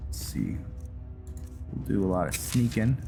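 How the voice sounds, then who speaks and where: A man giving relaxed, casual let's-play commentary on a roleplay game, thinking aloud as he goes. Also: deep voice, a little slow. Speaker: gaming YouTuber. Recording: clear game music behind.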